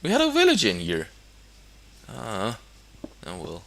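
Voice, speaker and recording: Low-voiced Danish young man commentating a game. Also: huge pitch swings, unhurried, creaky. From Denmark. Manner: hesitant, many fillers and restarts, chuckles often. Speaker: gamer. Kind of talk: casual gameplay commentary.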